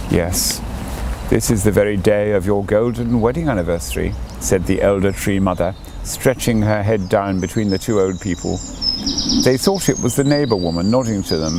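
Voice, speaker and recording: A man narrates a storybook in an expressive manner, with a mid-range voice that rises and falls a great deal. There is noticeable ambient noise behind his reading. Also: mid-range voice. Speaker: audiobook reader.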